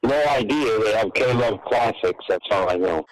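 A man from Michigan with a fairly low voice phones in to a talk show. He speaks casually and simply, as a listener putting a question to the hosts. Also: moderate pace, a loud crowd, low-quality recording. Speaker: caller.

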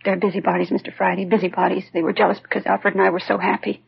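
A woman playing a defensive American housewife and mother under suspicion in a 1950s radio crime drama, with a husky, creaky voice. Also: medium pitch, flat delivery, racing, full character voice. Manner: indignant, evasive, clipped retorts. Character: defensive, tearful housewife and mother suspected of poisoning her husband. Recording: dull, muffled 1950s radio recording.

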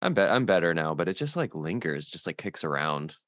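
A man's voice: deep voice, sing-song, a little fast, a bit bright, dry recording, studio quality. A man hosting a casual interview, asking rambling, hesitant questions with light laughs. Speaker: podcast host.

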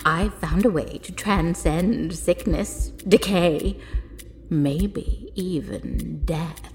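A woman's voice: fairly low pitch, very slow, over music. An actress playing an ancient, demented female inventor in an audio drama, doting and manic, savoring her words theatrically. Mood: manic, confiding. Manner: theatrical, savoring words, breathy gasps and laughter.

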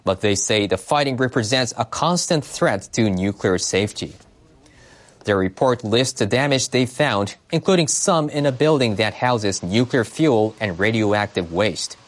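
A man reading scripted news reports as a newsreader, in a formal, measured way. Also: on the low side, animated.

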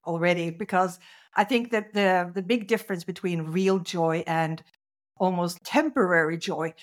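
Animated woman telling her story. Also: fairly low pitch, normal speed. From Norway. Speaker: guest speaker. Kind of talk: conversational interview storytelling.

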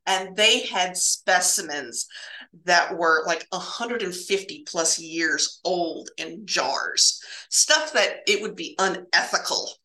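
A woman casually telling spooky stories from her hospital laboratory work, halting and repeating words as she goes and acting out bits of dialogue. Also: on the low side, bright, forceful tone, echoey room, low-quality recording.

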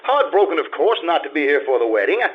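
Guy playing smooth cheeky ex-husband, highish lively voice, glib and wry, old time radio show, bit lo-fi. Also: fast talker. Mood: mock-innocent. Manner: glib, wry, mock-innocent. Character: suave, roguish, teasing society ex-husband. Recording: dull band-limited live radio stage recording.

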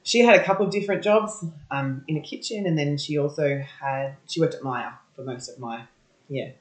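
A woman's voice: low voice, brisk. Chatty Australian woman in a roomy space. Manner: chatty, laughs often, many ums and yeahs. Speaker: guest. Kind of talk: casual interview talk. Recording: roomy echo.

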